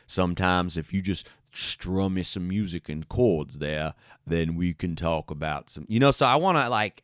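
A man's voice: deep voice, clean tone, dry recording, studio quality. A young man hosting his own podcast, chatting casually and rambling with lots of fillers, restarts and slang. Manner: rambling, laid-back, many fillers and restarts; slangy, says man and guys. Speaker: podcast host. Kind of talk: casual solo podcast chat.

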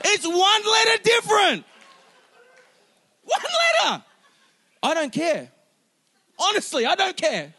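A man in his forties preaching a sermon as a pastor, animated and emphatic, joking with his congregation. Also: very high voice, takes it slowly, pauses often.

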